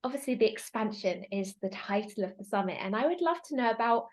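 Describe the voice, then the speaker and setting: A woman's voice: a little high, animated, fast. A woman hosting an online summit, introducing her guest in an effusive, upbeat way. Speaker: summit host. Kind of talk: scripted guest introduction and interview.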